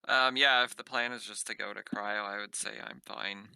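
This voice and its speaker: Very bright, creaky-voiced man gaming. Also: lowish voice, steady tone, normal speed, no echo, poor audio. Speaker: player in a tabletop roleplaying game. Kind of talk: casual tabletop roleplay talk.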